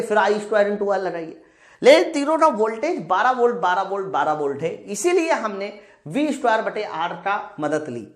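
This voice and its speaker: High-pitched, animated male teacher. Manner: emphatic, repetitive, addressing students with questions. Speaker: physics teacher. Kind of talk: exam-prep lecture, solving questions aloud. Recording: roomy, echoing sound.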